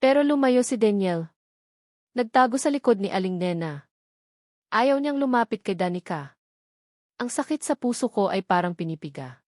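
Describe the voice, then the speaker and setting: Female story narrator, mid-range pitch, scripted romance drama read, dry studio-style sound. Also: expressive, moderate pace, long pauses, darkish tone, no echo.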